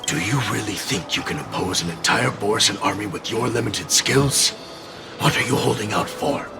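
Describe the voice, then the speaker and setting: A bloodthirsty male spirit in a dramatic game scene. The voice is low and gravelly, swinging theatrically between coaxing and scorn. It taunts with open menace over clear music. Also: normal speed. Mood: mocking, tempting. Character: sinister, mocking male spirit companion, bloodthirsty, tempting, scornful. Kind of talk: dramatic video game voice acting.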